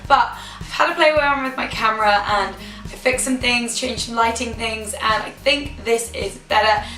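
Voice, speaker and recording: A young woman with a hoarse voice, chatting enthusiastically to camera as a beauty vlogger. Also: a little high, sharp tone. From United Kingdom. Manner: chatty, enthusiastic, repeats words for emphasis. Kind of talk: casual chat to camera. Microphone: camera mic. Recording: echoey room, camera mic from a distance, faint music.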